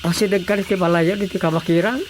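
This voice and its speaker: An actress playing a bumbling, bewildered frog catcher, colloquial and exclamatory, in a Filipino comedy radio drama. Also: female voice, lowish voice, steady tone, fast talker, darkish tone, slight background noise, close to an everyday voice.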